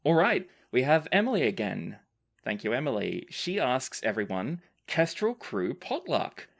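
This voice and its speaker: A woman with an Australian accent hosting a casual Q&A chat, reading out questions and talking in an animated way. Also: very deep voice, unhurried, vocal fry. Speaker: podcast host reading listener questions.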